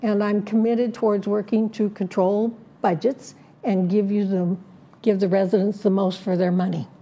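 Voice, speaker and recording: A woman serving as a community board director, laying out her plans in an interview, listing points slowly with many fillers and restarts. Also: mid-range voice, flat delivery, mellow, lo-fi sound. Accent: Southern Californian. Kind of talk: prepared statement in an interview.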